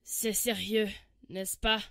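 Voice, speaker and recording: A woman's voice: mid-range voice, flat delivery, slow, creaky, pushed and bright, dry recording, clean studio sound, full character voice. A woman voicing a fierce, proud, competitive monster warrior in a comic dub, with a brash manner. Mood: earnest, softened.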